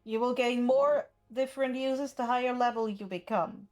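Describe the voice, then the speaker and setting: A woman's voice: on the high side, steady tone, normal speed, a little mellow. A woman running a tabletop roleplaying game as game master, firm and matter-of-fact.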